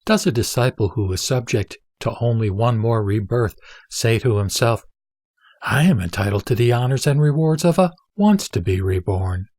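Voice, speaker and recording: A man playing the Buddha as a calm teacher, speaking in a measured, deliberate, instructive way in a scripture audio drama. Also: mid-range voice, no echo, studio quality, lightly acted. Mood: questioning. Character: male Buddha, a calm teacher questioning his disciple.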